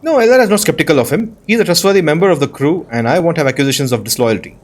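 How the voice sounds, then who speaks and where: Higher-pitched man with animated gameplay commentary. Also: rapid-fire. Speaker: gaming video host. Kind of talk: casual gameplay commentary, reading dialogue choices aloud.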